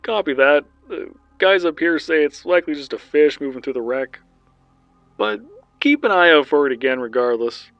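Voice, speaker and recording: A man voicing a calm male mission controller who speaks in a steady, businesslike way over the radio. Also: fairly high pitch, moderate pace, dry recording, muffled recording, faint music behind, pitched above the performer's own voice, natural voice.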